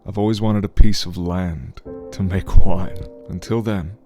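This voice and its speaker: A man plays an easygoing warrior brother in an audio drama. His voice is very low and relaxed, full of encouragement, with music clearly playing behind it. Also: a little slow. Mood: reassuring. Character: easygoing, reassuring older brother, a clan warrior. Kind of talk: dramatic game-script acting.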